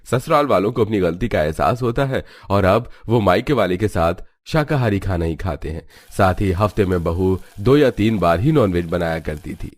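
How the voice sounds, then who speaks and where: A man's voice: low voice, expressive, normal speed, hardly any pauses, no echo. A man reading scripted narration for a story.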